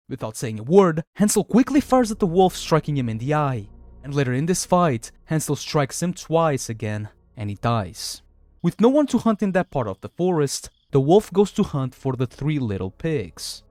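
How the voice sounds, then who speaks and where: A man's voice: fairly high pitch, darkish tone, no room sound, clean studio sound. A man reading scripted video essay narration in a dramatic storytelling manner.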